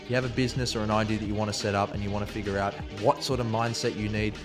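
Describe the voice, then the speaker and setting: A man's voice: lowish voice, steady tone, rapid-fire, over music. Young Australian man, casual and sweary. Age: young adult. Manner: swears often, loose and jokey. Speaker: podcast host. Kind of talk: casual interview chat.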